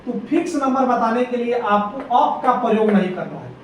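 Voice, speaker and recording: High-voiced man teaching in an echoey room. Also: lively intonation, moderate pace. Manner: repetitive, drilling questions to students. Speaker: English grammar teacher. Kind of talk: exam-prep grammar lesson. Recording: echoey room, distant mic, faint hiss.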